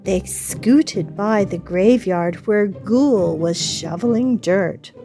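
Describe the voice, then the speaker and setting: A woman reading a children's story aloud as an expressive, dramatic storyteller. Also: mid-range voice, slow, soft background music. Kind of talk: expressive read-aloud narration.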